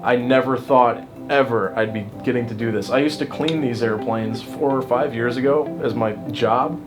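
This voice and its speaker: A man's voice: mid-range voice, moderate pace. Young man, casual, music behind. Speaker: aerobatic pilot and instructor. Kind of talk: casual flight instruction and chat.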